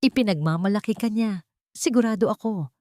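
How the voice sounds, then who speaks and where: Narrator lady doing an icy lady colonel out for revenge, calm but cutting, super dry sound. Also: medium pitch, no echo, pitched above the performer's own voice, lightly acted. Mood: icy politeness. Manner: calm, cutting, slow and clear. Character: cold, commanding woman colonel out for revenge.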